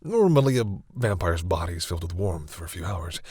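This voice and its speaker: A man playing a centuries-old vampire in an intimate audio roleplay, speaking formally but haltingly in a husky, breathy voice. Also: sits low, sing-song, darkish tone, no echo, exaggerated voice. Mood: awed, hesitant. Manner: hesitant, halting, formal phrasing. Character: centuries-old male vampire, courteous, hesitant, commanding with servants.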